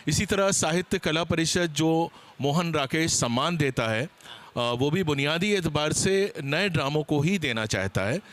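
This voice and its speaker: A man speaking as a panelist at a seminar, explaining his points in a deliberate, hesitant way. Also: fairly high pitch, animated, fairly bright tone, slight background noise.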